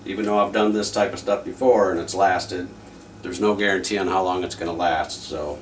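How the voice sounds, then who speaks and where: A man's voice: low-pitched, fairly even intonation, fairly quick, background noise. A man giving a casual hands-on repair demonstration in a plain-spoken, folksy way, as the host of a repair video. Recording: distant microphone, music playing.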